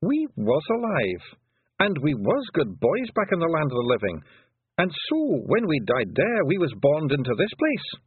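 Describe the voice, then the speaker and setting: Boisterous Scottish pixie chief, squeaky and loud, voiced by a man, tinny storybook sound. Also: huge pitch swings, measured pace, husky, no echo, exaggerated voice. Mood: cheerful. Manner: cheerful, boisterous. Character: cheerful tiny male pixie warrior chief.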